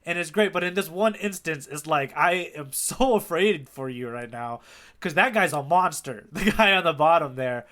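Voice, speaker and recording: A man's voice: a little high, lively intonation, moderate pace, a bit bright. A man co-hosting a podcast, talking casually and enthusiastically in a loose fan discussion. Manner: enthusiastic, appreciative. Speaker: podcast co-host. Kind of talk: casual chat about a manga chapter.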